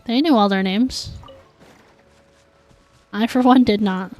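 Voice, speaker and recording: A woman's voice: mid-range voice, slow, a little mellow, soft background music. A woman streaming a game, chatting casually with her viewers in a filler-heavy way. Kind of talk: casual livestream chat while gaming.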